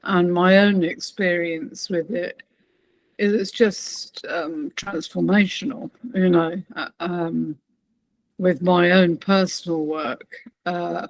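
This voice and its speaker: A man with a high voice speaks slowly and thoughtfully, hesitating as he shares his view. He is a participant in an online talk, and his tone is appreciative. The sound is dry. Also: gravelly, no room sound, poor audio. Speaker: webinar participant.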